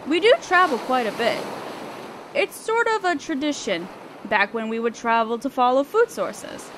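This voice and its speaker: A woman's voice: high voice, expressive, moderate pace. A woman playing a guarded young female selkie in a roleplay audio drama, aloof and hesitant yet curious as she talks to the listener. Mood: musing, casual. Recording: ocean waves and wind behind voice. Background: surf, waves and wind sound effects.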